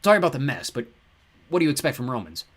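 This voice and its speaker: A man's voice: medium pitch, very animated, very fast, fairly bright tone, voice pushed up. A man voicing a cheerful god who talks in a light, offhand, apologetic way. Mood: breezy. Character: cheerful grinning god in a borrowed farmer's body.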